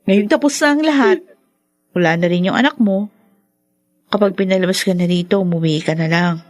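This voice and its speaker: An actress playing a young, haughty rich daughter in a radio drama, sharp and condescending. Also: female voice, on the low side, a little fast, faint music behind, lightly acted. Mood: spiteful, cold. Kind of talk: Tagalog radio drama acting.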